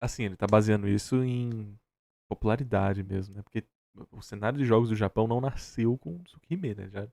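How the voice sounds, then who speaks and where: A man's voice: on the low side, a little fast, vocal fry, warm tone, dry recording. A Brazilian man co-hosting a reaction show, commenting casually in a sarcastic, argumentative and emphatic way.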